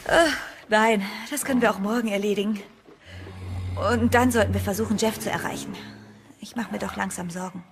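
An actress playing a sensible, uneasy young heroine in a horror audio drama, earnest and measured. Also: female voice, medium pitch, background noise, close to an everyday voice. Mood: hesitant, worried.

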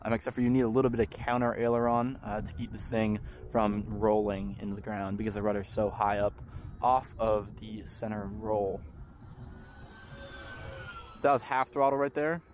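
A man's voice: lowish voice, normal speed, soft background music. A young man hosting a hobby channel, talking casually with frequent ums while flying a model plane in an open park. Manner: relaxed, chatty, frequent ums. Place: open park field outdoors.

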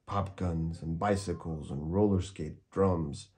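A man reading a children's storybook aloud in expressive narration, with dramatic emphasis. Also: low-pitched, takes it slowly. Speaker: storybook reader.

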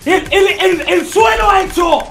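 A man with an accent from Spain, playing a game and chatting in an excitable, clownish, repetitive way. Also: extremely high pitch, a little slow, soft background music. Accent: Spanish, from Spain. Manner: excitable, clownish, repeats phrases. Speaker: gaming co-player. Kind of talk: casual chat while playing a game.

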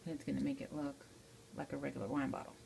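A woman's voice: a bass voice, flat delivery, fast, gravelly, noisy background. A woman giving a casual step-by-step tutorial in an informal way, often checking in with the viewer, recorded on a handheld phone in a home kitchen. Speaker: amateur how-to video maker. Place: home kitchen, indoors at the stove. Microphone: handheld phone behind the camera.

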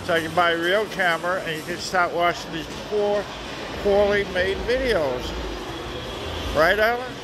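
A man's voice: high-pitched, moderate pace. A man casually narrating a walk through a busy shopping mall on a handheld phone camera, joking and rambling as he goes. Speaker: vlogger. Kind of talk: casual walking vlog. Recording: noisy mall ambience.

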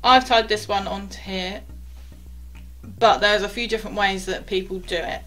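A young woman teaches knots in a chatty, step-by-step way, her voice sitting at a middling pitch and often dropping to quiet asides as her hands work the rope. She speaks close to a camera in a small wooden cabin, with faint music playing underneath. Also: fairly even intonation, moderate pace. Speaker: sailing instructor. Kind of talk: knot-tying tutorial.

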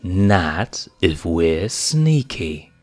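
A mischievous boy lion cub, cocky and eager for trouble, as a man voices him in a storybook reading. The voice stays low and plain. It is recorded close and dry. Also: big pitch swings, very slow, dry recording, faint music behind, full character voice. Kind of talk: fanfiction audiobook reading.

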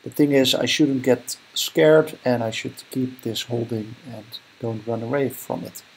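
A man's voice: medium pitch, fairly even intonation, a little slow, slightly rough, rough recording. A young Dutch man with a Dutch accent, thinking aloud and correcting himself as he talks through a screen recording. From Netherlands. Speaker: investing vlogger. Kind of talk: casual screen-recording commentary.

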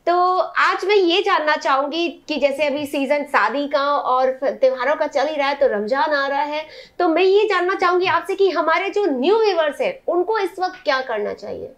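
A young woman with a high, bright-sounding voice presents sarees in a shop, talking eagerly and directly to her viewers. The recording is dry and close, with little room sound. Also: normal speed, hardly any pauses, no room sound. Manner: chatty, enthusiastic, addresses viewers directly. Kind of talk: casual product showcase.

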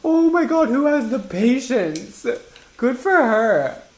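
A man in his twenties giving casual, exclamatory reaction commentary to camera in a campy, animated manner. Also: very high voice, slow, roomy sound. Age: 20s. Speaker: hairdresser and video host. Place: indoor studio with paper backdrop.

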